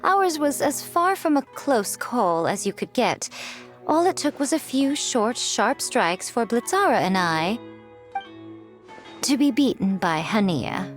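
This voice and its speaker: A woman's voice: a little high, very animated, takes it slowly. A woman playing a sly, poised video game character who teases in an arch, elegantly formal way. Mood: amused, taunting. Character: sly, poised, teasing woman who enjoys provoking others. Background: game music.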